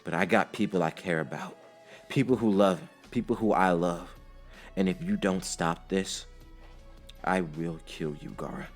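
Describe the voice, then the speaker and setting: A man's voice: low voice, a little slow, faint music behind. A man voicing an earnest, caring young ninja hero in an anime-style story, heartfelt and emphatic. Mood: pleading.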